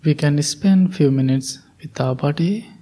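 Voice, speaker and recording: Male monk, meditation leader; unhurried, warm and soft, calm guiding delivery. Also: on the high side. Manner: soft, calm instructions with long pauses. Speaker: Buddhist monk leading meditation. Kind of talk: guided meditation.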